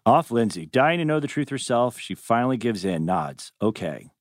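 A man's voice: medium pitch, very animated, moderate pace, no room sound, studio quality. A man narrating stage directions from a script at a table read.

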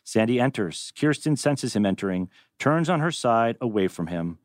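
A man's voice: medium pitch, animated, normal speed, no echo, studio quality. A man narrating a screenplay's stage directions at a table read. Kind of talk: animated script reading.

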